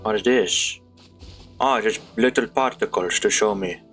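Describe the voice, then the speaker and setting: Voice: mid-range voice, a little slow, fairly bright tone. A game streamer giving casual, rambling gameplay commentary with playful sing-song asides, music behind.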